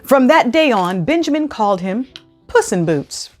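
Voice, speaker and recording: A woman hosting a children's read-aloud, speaking in a deliberate, clearly phrased storytelling style. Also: fairly high pitch, very animated, darkish tone, soft background music.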